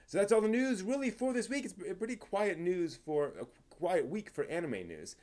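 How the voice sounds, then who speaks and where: A man's voice: sits high, expressive, moderate pace, a little mellow. Man casually hosting an American news stream. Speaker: live stream host. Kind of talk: casual anime news rundown on a live stream.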